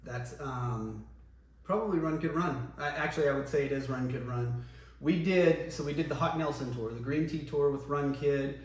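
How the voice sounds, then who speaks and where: A man, a former band singer, reminiscing casually in an interview, relaxed and rambling with many ums and restarts. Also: medium pitch, moderate pace. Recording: echoey room, voice from a distance, faint noise.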